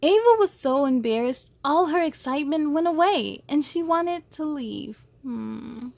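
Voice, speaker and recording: A woman's voice: high-pitched, animated, measured pace, vocal fry, a little mellow. A woman hosting a children's story time, reading aloud expressively and speaking to young listeners in an encouraging way.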